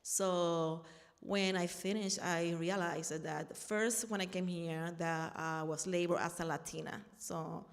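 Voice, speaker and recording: Puerto rican lady, spanish accent, kinda low voice, big echoey hall, earnest, keeps restarting. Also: fairly even intonation, unhurried, vocal fry. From Puerto Rico. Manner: earnest, searching for words, frequent restarts. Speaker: panel speaker, artist and activist. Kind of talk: personal talk at a panel.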